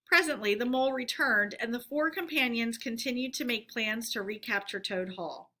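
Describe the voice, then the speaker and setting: Bright-voiced woman reading a children's story aloud. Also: on the high side, normal speed.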